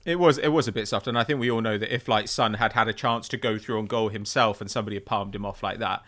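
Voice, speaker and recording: A man's voice: fairly low pitch, fast talker, fairly bright tone. A young British man hosting a football podcast in a conversational style. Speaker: podcast host.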